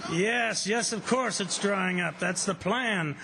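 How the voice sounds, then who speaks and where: Guy doing tough stubborn plantation boss in a radio play, sharp punchy voice, a bit high, faint noise behind. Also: takes it slowly, gravelly, exaggerated voice. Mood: confident. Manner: forceful, commanding. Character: stubborn, confident plantation boss, defiant and commanding. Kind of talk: stage radio drama acting.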